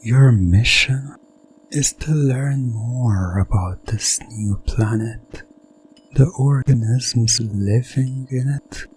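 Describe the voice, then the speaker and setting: A man narrating a hypnotic ASMR science fiction roleplay in a deliberate, lulling way, repeating phrases and often dropping toward a whisper. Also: lowish voice, darkish tone, low-quality recording. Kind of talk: slow hypnotic ASMR second-person narration of a sci-fi roleplay.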